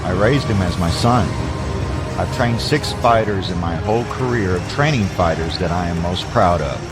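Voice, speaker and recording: A man's voice: sits low, normal speed, over loud music, close to an everyday voice. An actor playing a stern older fight trainer and father figure in an audio drama, commanding and authoritative. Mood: proud.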